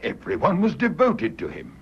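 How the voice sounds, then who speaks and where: A firm, loyal British doctor in a radio drama. His voice is husky and animated in pitch. The recording sounds dull. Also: normal speed, full character voice. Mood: indignant. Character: indignant, loyal gentleman family doctor.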